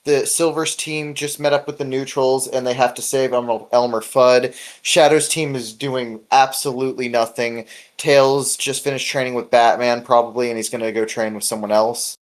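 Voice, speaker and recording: A man's voice: mid-range voice, fairly even intonation, normal speed, few pauses. A man hosting a casual podcast, talking in a loose, rambling way with chuckles. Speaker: podcast host.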